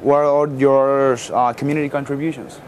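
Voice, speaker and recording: A man's voice: medium pitch, flat delivery, normal speed, darkish tone, slight background noise, poor audio. A man from Venezuela interviewing a fellow attendee at a conference, with brief encouraging interjections. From Valencia, Venezuela. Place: busy conference venue.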